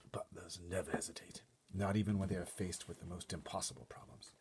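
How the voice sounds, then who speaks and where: A man reading a children's book aloud in an expressive, often hushed way, adding casual explanatory asides. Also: low-pitched, fairly quick, vocal fry, noisy background. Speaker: storybook reader.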